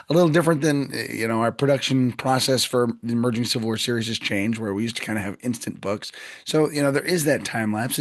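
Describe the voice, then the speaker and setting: A man hosting a history podcast with a scratchy, hoarse voice, chatting loosely and joking with his guests. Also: mid-range voice, no room sound, clean studio sound. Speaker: podcast host. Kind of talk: casual interview chat.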